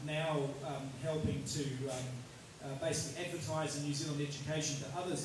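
A man speaking on a panel at an indoor event, halting with many ums, into a handheld mic. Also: on the high side, background noise. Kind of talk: informal Q&A remarks. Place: large indoor event hall. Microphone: handheld mic, far camera. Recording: echoey hall, distant with crowd.

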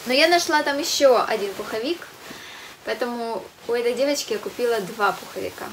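A young woman with a somewhat high voice and a stuffy, blocked nose chats enthusiastically straight to camera, often pausing with hesitation sounds. She is recorded in a small indoor room on a camera mic. Faint hiss runs behind her. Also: low-quality recording. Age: young adult. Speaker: fashion vlogger. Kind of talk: casual chat to camera.

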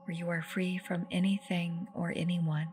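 Woman, lowish pitch, soft and breathy phrase endings, soothing, unhurried, guided meditation narration, singing bowl music behind. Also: flat delivery. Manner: soothing, unhurried, with long pauses. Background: meditative music with singing bowls.

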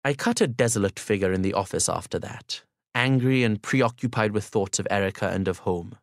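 A man narrating a literary audiobook in the first person, in a formal, measured and polite manner. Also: fairly low pitch, dry recording, studio quality.

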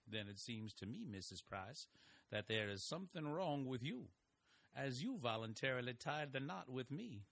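A man's voice: mid-range voice, sing-song, moderate pace, gravelly, a bit bright, heavily acted. An actor playing a folksy, wry older male investigator who narrates his story. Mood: teasing. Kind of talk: audio drama narration and acting.

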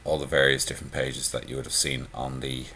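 A man giving a relaxed, conversational screencast walkthrough of a website theme, with frequent "uh" and "um" fillers. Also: a bass voice, brisk, a little hiss or hum. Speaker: theme tutorial presenter.

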